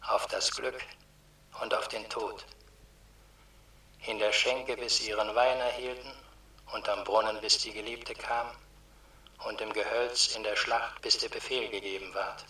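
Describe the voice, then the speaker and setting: Radio play gatekeeper of the dead: commanding, solemn, slow and sharp, heard in a reverberant room. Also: male voice, lowish voice, level tone, far from the mic. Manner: slow, measured, imperious. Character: stern, commanding gatekeeper voice of the underworld. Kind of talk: solemn radio drama declamation. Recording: echoing room, set back from mic.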